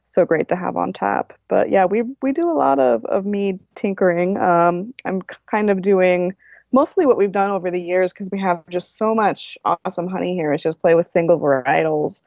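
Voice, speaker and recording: A woman chatting as a podcast guest, talkative and quick to laugh, heard over dull lo-fi audio. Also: lowish voice, gravelly, a little mellow, no room sound. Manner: chatty, restarts, laughs easily. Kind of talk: casual interview chat.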